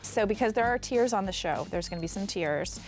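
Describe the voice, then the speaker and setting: An enthusiastic young woman from Canada interviews actors on a studio set, her voice at a mid pitch. She is effusive and quick to praise, with clear music playing beneath her. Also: lively intonation. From Aurora area, Ontario, Canada. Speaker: entertainment interviewer. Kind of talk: casual interview.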